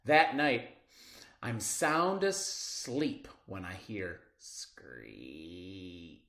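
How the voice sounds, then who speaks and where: A man reading a children's story aloud expressively, with dramatic pauses and drawn-out words. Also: low-pitched, very animated, fairly bright tone. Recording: roomy, echoing sound.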